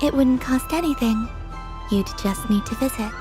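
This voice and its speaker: Woman as a high-voiced, serene moon goddess. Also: normal speed, over music, close to an everyday voice. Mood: gentle. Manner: simple, understated, earnest. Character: serene, naive young moon goddess, curious and literal. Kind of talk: video game voice acting.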